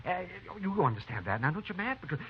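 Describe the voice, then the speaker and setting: An actor playing a garrulous, excitable frontier town doctor in 1950s radio drama, with a folksy, sputtering manner. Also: male voice, a little high, fast. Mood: amused. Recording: muffled, faintly noisy 1950s radio recording.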